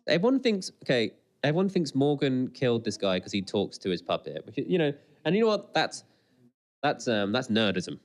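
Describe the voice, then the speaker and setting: A man co-hosting a podcast, giving casual, chatty reaction commentary in an excitable, exclamatory way, often repeating phrases. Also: mid-range voice, a little fast.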